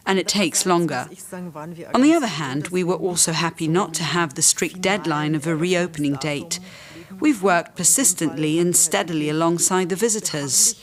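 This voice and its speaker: A woman speaking as an expert in a documentary interview voice-over, explaining her work. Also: lowish voice.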